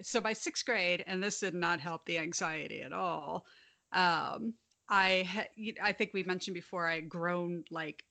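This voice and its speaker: A woman's voice: fairly low pitch, pushed and bright. A young adult woman with a slight lisp, telling stories frankly in a casual podcast chat and swearing freely. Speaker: podcast co-host.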